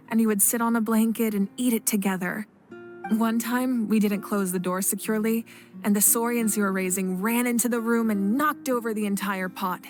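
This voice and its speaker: An actress playing a composed, noble young warrior queen and kindly deity in a video game, speaking in a measured, gentle, dignified way. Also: medium pitch, natural voice. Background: faint background music.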